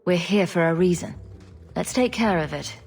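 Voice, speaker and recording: Sarcastic, lower-voiced heroine. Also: female voice, normal speed, gravelly, husky, soft background music. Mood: decisive. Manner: dry, deadpan quips. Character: sarcastic, self-assured female champion adventurer. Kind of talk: video game cutscene acting.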